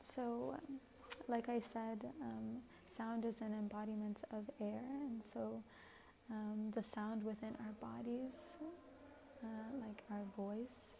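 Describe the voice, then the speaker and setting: A woman with a very soft voice giving a gentle, reflective spiritual talk as a host, often pausing with fillers. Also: on the high side, little pitch movement, gravelly, slight background noise, rough recording. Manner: gentle, hesitant, many fillers.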